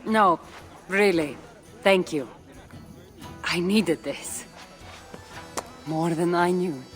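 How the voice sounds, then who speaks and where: An actress playing a confident, wry Greek warrior woman in a video game cutscene, speaking in a measured, playful way. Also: lowish voice, faint music behind. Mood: reflective.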